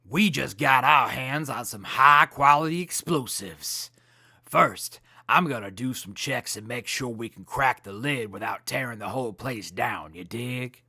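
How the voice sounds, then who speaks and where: A man's voice: medium pitch, measured pace, vocal fry, pushed and bright, no echo, studio quality, heavily acted. A man playing a laid-back wasteland crew leader in a radio drama, casual, slangy and breezily in charge. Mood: easygoing, confident. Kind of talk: post-apocalyptic radio drama acting.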